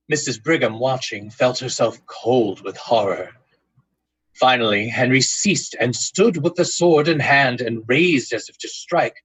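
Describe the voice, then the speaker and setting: Male narrator, husky voice, dramatic scripted delivery, old-time radio style, dry sound. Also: medium pitch, fairly bright tone, no room sound, rough recording.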